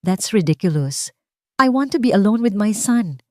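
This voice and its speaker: A woman's voice: mid-range voice, expressive, normal speed, no room sound, clean studio sound, voice pushed up, close to an everyday voice. A woman narrator voicing a manipulative, venomous rich mother who slips between syrupy coaxing and threats in an audiobook. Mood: indignant. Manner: syrupy coaxing, hissed threats, playing victim.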